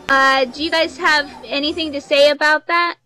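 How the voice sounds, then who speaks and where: A woman's voice: high-pitched, steady tone, a little slow, sharp tone, soft background music, low-quality recording. A woman giving an informal instructional talk as a podcaster, explaining things in a chatty, colloquial way. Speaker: fiction podcaster giving a workshop.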